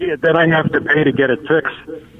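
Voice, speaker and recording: A man reporting live by phone while walking around a parking lot, giving unhurried, playful running commentary. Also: on the high side, fairly even intonation, crowd noise. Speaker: roving field reporter. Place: hotel parking lot outdoors. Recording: dull lo-fi phone audio.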